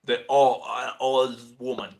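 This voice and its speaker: A man with an Argentinian accent chatting as a guest artist, halting and restarting as he searches for English words. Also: medium pitch, little pitch movement, creaky, a little mellow. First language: Spanish. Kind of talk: casual chat.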